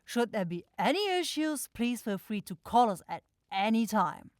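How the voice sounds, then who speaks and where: A woman's voice: on the high side, animated, moderate pace, no echo, clean studio sound, lightly acted. Woman acting an effusive horned demon saleswoman, lilting upward. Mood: polite, businesslike. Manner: effusive, self-assured sales patter. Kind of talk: fantasy ASMR roleplay acting.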